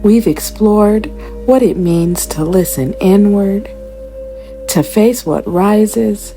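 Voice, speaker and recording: A woman guiding a scripted meditation in a gentle, deliberate manner, pausing between short phrases. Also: medium pitch, music in the background. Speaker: meditation guide, host. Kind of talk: guided meditation, scripted spiritual narration.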